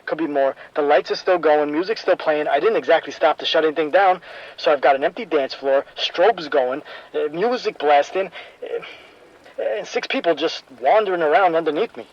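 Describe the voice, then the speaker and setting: Male actor: panicky trapped DJ, stammering, higher register, radio drama. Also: normal speed, muffled recording, natural voice. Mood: shaken. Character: terrified nightclub DJ trapped in his booth.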